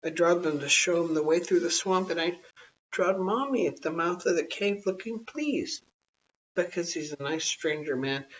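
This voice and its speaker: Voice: mid-range voice, moderate pace, fairly bright tone, far from the mic, highs cut off, pitched above the performer's own voice, close to an everyday voice. An adult storyteller voicing a small, outspoken girl, innocent and indignant, in storybook dialogue. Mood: earnest, defensive.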